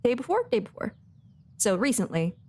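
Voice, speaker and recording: A woman's voice: a little high, very animated, brisk, darkish tone. A woman streaming a video game, chatting casually in a playful, giggly, rambling way. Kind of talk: casual livestream chat while playing a game.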